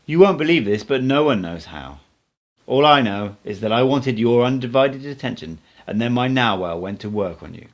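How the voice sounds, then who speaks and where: A man's voice: medium pitch, expressive, moderate pace, highs cut off, natural voice. A man reading the part of an unruffled male mystic teacher in an audiobook, instructive, cryptic and teasing. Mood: calm, explaining. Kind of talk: audiobook character dialogue.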